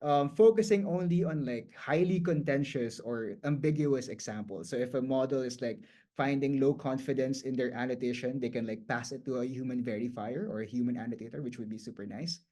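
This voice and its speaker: A man whose first language is Tagalog, giving a guest lecture in a loose, conversational way, often restarting phrases and filling pauses with "um" and "like". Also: medium pitch, mellow. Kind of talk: explanatory lecture.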